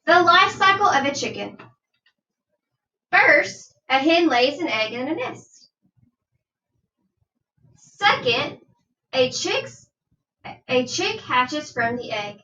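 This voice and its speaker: Female primary teacher for children's read-aloud: bright, unhurried, instructive delivery, slightly distant from the mic. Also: high voice, big pitch swings. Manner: deliberate, instructive, asks listeners questions. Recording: dull, roomy sound, distant from mic.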